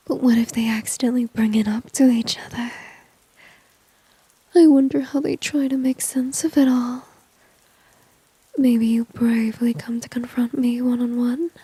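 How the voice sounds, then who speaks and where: A woman plays a dominant, flirtatious villainess in an intimate ASMR roleplay. Her whisper is soft, mellow and very breathy, unhurried and smugly teasing. It sits close and dry on the microphone. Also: fairly high pitch, no echo, heavily acted, poor audio. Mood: smug, mocking. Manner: slow, teasing, smug, intimate. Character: seductive, dominant female villain teasing a shy enemy.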